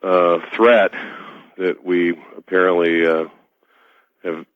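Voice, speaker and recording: A man with a very low-set, flat voice speaks on talk radio. He is halting and hesitant, and his voice comes through a tinny, narrow phone line. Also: no echo.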